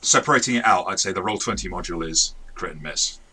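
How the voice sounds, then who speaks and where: A man with a very low but sharply bright, husky voice chats through a game review. He speaks haltingly and restarts his sentences as he sums up. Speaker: game master and co-host. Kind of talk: casual review chat.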